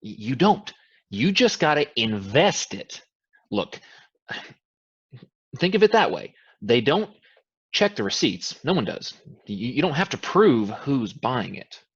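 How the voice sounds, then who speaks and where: An actor in a staged play reading, playing an evasive man selling a scheme, halting and exasperated. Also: mid-range voice, big pitch swings, husky, muffled recording, full character voice.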